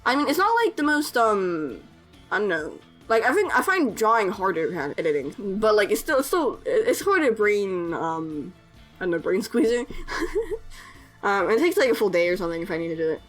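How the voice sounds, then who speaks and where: A woman's voice: medium pitch, very animated, normal speed, faint music behind. A young woman chatting casually in a voice-over while she edits, hesitant, with lots of fillers and self-conscious little laughs. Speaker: artist and animator YouTuber. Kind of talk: casual voice-over over editing footage.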